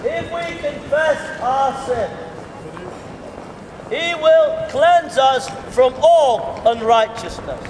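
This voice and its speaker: A middle-aged man with a very high voice preaches at an unhurried, deliberate pace, hammering home repeated phrases. He is caught by a camera some way off on an open shopping street. The sound is roomy, with a long echo off the buildings, and town noise and traffic are clear behind him. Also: animated, darkish tone. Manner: slow, repetitive, emphatic preaching cadence. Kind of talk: open-air street sermon. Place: pedestrian shopping street outdoors. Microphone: far camera. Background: town street ambience and passing traffic.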